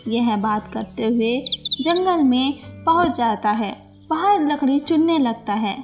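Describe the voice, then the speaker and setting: A woman storyteller reads a scripted bedtime fable. Her voice is high and her pace very slow. Music plays clearly behind the narration. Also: animated.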